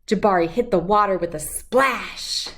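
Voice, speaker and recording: A woman speaking as a children's read-aloud host, expressive and storybook-like, with drawn-out emphasis. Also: medium pitch. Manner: slow, animated delivery with drawn-out emphasis for young listeners.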